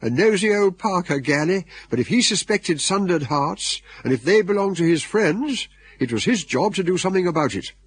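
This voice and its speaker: A man's voice: high-pitched, lively intonation, moderate pace, slightly rough, no room sound. A man with an English accent narrating a radio drama in a wry, droll storytelling manner.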